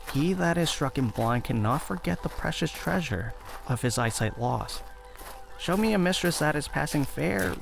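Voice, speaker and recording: A man's voice: a little high, gravelly. A man playing a lovesick, brooding, melodramatic young nobleman in a Shakespearean audio play.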